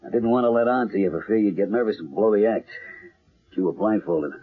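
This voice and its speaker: A man's voice: lowish voice, quick pace, breathy edge. A man playing a stage psychic's loyal, streetwise partner and showman in an old-time radio drama. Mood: casual, low-key. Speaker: actor. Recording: muffled, narrow-band old radio recording.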